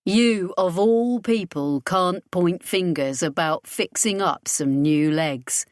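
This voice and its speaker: A woman's voice: on the low side, slow pace, no echo, studio quality. A woman voicing a terse, wry young man who is part alien, matter-of-fact, in a science fiction audiobook. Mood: firm, wry. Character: hollow-faced, scarred young male human-alien hybrid, terse and wry.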